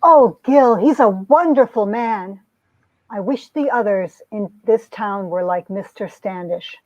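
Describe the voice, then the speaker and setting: Casting: female reader as earnest young sweetheart narrating vintage romance, medium pitch, dull close dry recording. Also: a little slow, a little mellow, close to an everyday voice. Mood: tender, relieved. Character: tender, wistful young woman narrating her romance. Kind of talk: dramatic reading of a 1950s romance comic.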